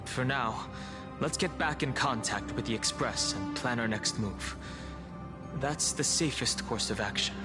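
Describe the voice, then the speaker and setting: Young male game hero, acted by a man: mid-pitched, level-headed, serious and polite, clear music behind. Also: measured pace, lightly acted. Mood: cautious. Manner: calm, serious, cautious. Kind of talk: video game voice acting.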